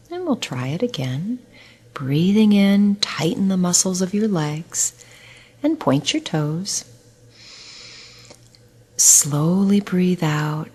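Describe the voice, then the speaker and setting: A slow, low-pitched woman's voice with a breathy, husky edge. She is a middle-aged nurse talking listeners through breathing and muscle relaxation, soft and soothing, often sinking almost to a whisper. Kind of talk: guided relaxation script.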